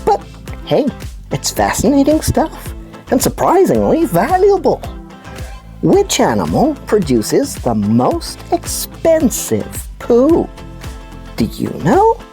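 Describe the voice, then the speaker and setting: A woman voicing an enthusiastic puppet news presenter who explains science to children in an animated, emphatic storytelling way. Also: fairly high pitch, takes it slowly, soft background music, heavily acted. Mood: bubbly, inviting. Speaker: kids' show host. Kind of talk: scripted children's educational presenting.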